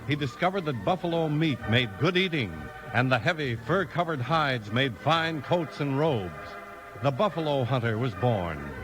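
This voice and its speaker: A man's voice: medium pitch, poor audio. A man announcing and narrating a 1950s radio western from a script.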